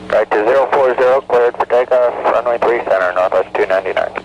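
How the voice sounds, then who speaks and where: A man's voice: medium pitch, fast, lo-fi sound. Male airline pilot on noisy cockpit radio. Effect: cockpit aviation radio. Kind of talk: radio communication with air traffic control.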